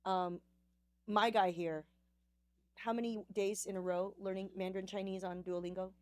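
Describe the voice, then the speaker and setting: Animated woman hosting a podcast. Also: mid-range voice, moderate pace, dry recording. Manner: animated, acts out quotes in comic voices. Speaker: podcast host. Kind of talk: casual chat.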